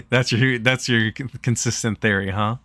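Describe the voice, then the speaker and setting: A man's voice: medium pitch, pushed and bright, dry recording, clean studio sound. A man reading a game's story aloud in a plain, conversational way, mixed with casual chat. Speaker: streamer reading a visual novel aloud.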